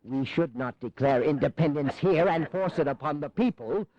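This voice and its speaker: An actor playing an earnest, cautious colonial delegate who pleads formally in a historical audio drama. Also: male voice, on the high side, moderate pace, warm tone, dry recording, highs cut off, close to an everyday voice. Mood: earnest, pleading.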